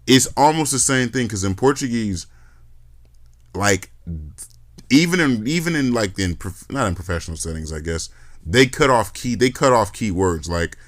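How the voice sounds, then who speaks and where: A man's voice: fairly low pitch, normal speed. A man hosting a podcast, chatting casually with lots of fillers and restarts. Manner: animated, many fillers and restarts. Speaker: podcast host. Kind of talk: casual interview chat.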